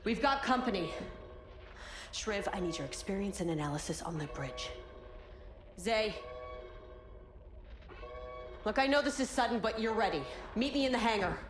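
Woman acting as tough mom rebel commander in a game, middle aged, medium pitch, firm orders, music behind. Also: expressive, normal speed, natural voice. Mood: urgent, commanding.

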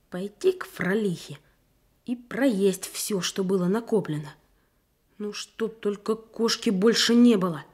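A woman's voice: mid-range voice, a little mellow, husky. A performer with a woman's voice playing a brooding young schoolboy thinking aloud in a Soviet radio drama, pensive and indignant. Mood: resentful. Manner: slow, pensive, indignant questioning.

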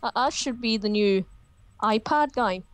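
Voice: mid-range voice, unhurried, smooth, close to an everyday voice. A young-sounding performer playing a naive, oddball prank caller, silly and mock-innocent with abrupt turns in an improvised call. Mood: silly, boastful. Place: at a computer on a group video call.

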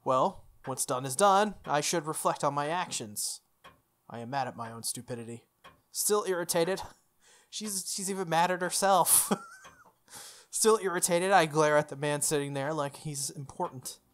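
A young adult streamer reading a visual novel aloud, with expressive delivery and casual, playful asides. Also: mid-range voice, measured pace. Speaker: game streamer. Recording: music playing under the voice. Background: background music.

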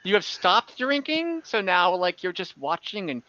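A man running an online tabletop fantasy game as game master, narrating off the cuff in halting fragments. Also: high voice, very animated, bright, forceful tone, dry recording. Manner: halting, pieces scenes together in fragments. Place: indoors at home, on a group video call. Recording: slightly dull video-call audio.

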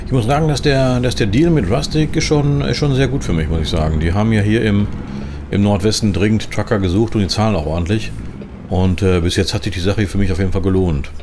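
Low-voiced man over rumbling engine noise. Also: fast talker. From Germany. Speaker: gaming channel host. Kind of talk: in-character commentary over a truck driving game. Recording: clear engine and vehicle noise behind. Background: truck simulator game sound.

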